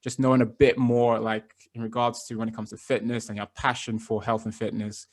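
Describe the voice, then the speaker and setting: A man's voice: medium pitch, a little fast. Chatty man hosting a podcast. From based in London. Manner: relaxed, chatty, many fillers and restarts. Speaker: podcast host and fitness coach. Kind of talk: casual interview chat.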